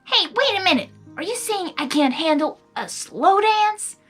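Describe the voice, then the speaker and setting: A woman voicing a brash, competitive young female pegasus pony who is quick to bristle, in a story reading. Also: very high-pitched, big pitch swings, voice pushed up, exaggerated voice. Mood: indignant. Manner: defiant, loud, quick to bristle. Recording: music behind the voice.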